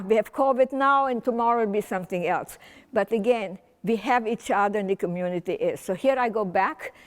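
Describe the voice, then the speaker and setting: An elderly woman in her eighties, a native German speaker, shares her survival story with a community gathering. Her delivery is casual and winding, full of restarts and wry asides. Her voice carries a long, ringing hall reverb. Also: mid-range voice. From Romania. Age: 80s. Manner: conversational and meandering, with frequent restarts and wry humor. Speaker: Holocaust survivor speaking at a remembrance event. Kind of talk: personal testimony talk to an audience.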